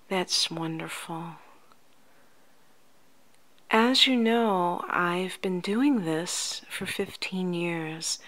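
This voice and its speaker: A woman speaking softly, at times close to a whisper, in a gentle, soothing ASMR roleplay as a life coach talking to the listener. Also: medium pitch. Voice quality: soft, often near-whispered. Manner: gentle, unhurried, soothing, addressing the listener directly. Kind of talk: soft-spoken ASMR roleplay.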